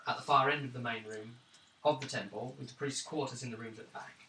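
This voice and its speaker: Game master narrating, bright tone, far off. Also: male voice, mid-range voice, steady tone. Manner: descriptive, slightly halting narration. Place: room around a gaming table. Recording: echoey room, mic far off.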